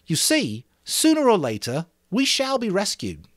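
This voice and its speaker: A man's voice: high-pitched, wildly swooping pitch, unhurried, fairly bright tone, no room sound, pitched above the performer's own voice, exaggerated voice. A man voicing an earnest young schoolboy leader making emphatic speeches to a group in a dramatic story reading. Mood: hopeful, rallying. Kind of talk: dramatic audiobook character reading.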